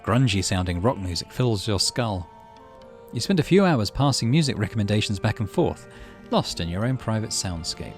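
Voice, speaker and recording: A man hosting a let's play, reading a story game aloud with expressive delivery and muttering asides about his moves. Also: fairly low pitch, moderate pace, faint music behind.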